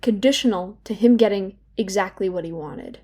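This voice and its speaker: A woman playing a regretful, hurt young woman who speaks haltingly in an intimate audio drama confession. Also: mid-range voice, dark tone, natural voice. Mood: conflicted, reflective. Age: young adult. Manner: hesitant, halting, with repeated words and pauses. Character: regretful, wounded young woman confiding in therapy.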